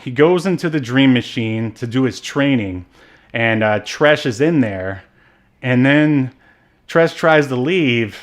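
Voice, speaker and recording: A man co-hosting a casual film discussion, thinking aloud in a halting, wry manner. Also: mid-range voice, expressive. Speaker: podcast co-host.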